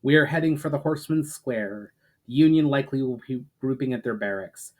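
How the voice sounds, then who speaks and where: A man's voice: mid-range voice, a little fast, a little mellow, lightly acted. A man voicing a resolute elven prince, giving urgent orders in an authoritative, declamatory way for a fantasy story reading. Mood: commanding, urgent. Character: commanding elven prince rallying his fighters, urgent and resolute.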